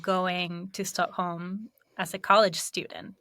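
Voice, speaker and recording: A woman's voice: on the low side, little pitch movement, slow, a bit bright, dry recording. A woman hosting a podcast interview, upbeat and asking curious questions. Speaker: podcast host and career coach.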